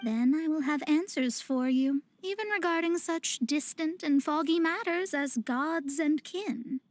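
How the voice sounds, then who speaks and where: A woman's voice: sits high, unhurried, dry recording. A woman voicing a proud young woman astrologer in a video game, speaking in a pompous, formal, precise way. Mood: persuasive, grand. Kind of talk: scripted video game voice acting. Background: game music.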